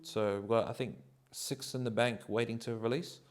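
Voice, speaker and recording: A man, answering interview questions in a relaxed, rambling way with many ums and likes. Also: mid-range voice, moderate pace. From New Zealand. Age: 30s.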